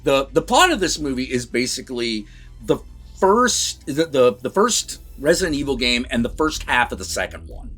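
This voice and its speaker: A man co-hosting a casual film review, chatting in an informal, animated, sweary way. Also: medium pitch, moderate pace, soft background music. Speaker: movie review co-host.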